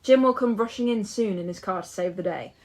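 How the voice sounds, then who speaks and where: A woman's voice: medium pitch, brisk, close to an everyday voice. A woman acting in an audio drama as a restless, hopeful young woman who chats in a musing, playful way. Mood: teasing, hopeful.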